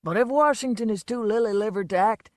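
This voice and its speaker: A woman's voice: a little high, brisk, no echo, studio quality, voice pushed up, natural voice. A woman voicing a drunk, blustering man. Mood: self-pitying. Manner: loud, swaggering, slurred. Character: drunken blustering man, a boastful folksy entertainer.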